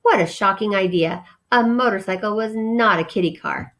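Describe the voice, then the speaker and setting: Female storyteller, medium pitch, informal read-aloud for children with casual asides. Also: moderate pace, a bit bright, a little hiss or hum. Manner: informal, explains words simply.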